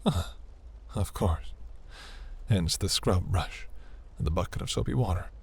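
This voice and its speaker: A seductive demon prince, performed by a man, speaks in a very low, slow voice roughened by gravel. He is imperious and grandiose, yet flirts with velvety, breathy teasing in the manner of a fantasy romance roleplay. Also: a little mellow, heavily acted. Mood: intrigued.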